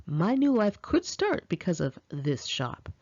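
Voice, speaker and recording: Animated, lower-voiced American woman narrating. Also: a little slow, no echo, dull audio. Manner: expressive, confiding, girlish slang. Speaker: author reading own memoir. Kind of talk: first-person audiobook narration, conversational and dramatic.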